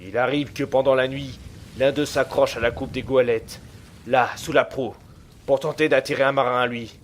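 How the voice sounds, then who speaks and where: A man playing a steady, commanding and superstitious ship's captain in an audio drama, firm and solemn. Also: mid-range voice, moderate pace, lightly acted. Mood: grave, foreboding. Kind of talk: dramatic dialogue, audio fiction. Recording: noisy ambience around the voice.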